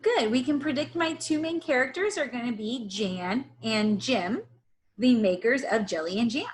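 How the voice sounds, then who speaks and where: A woman teacher giving a read-aloud lesson to young children, pausing to ask them questions in an instructional way. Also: a little high.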